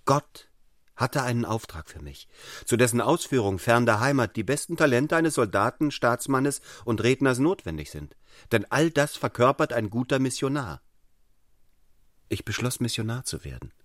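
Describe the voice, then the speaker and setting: An actor playing an austere, fervent young clergyman in a radio drama, speaking in a formal, deliberate, controlled manner. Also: mid-range voice, lively intonation, dry recording, studio quality, lightly acted.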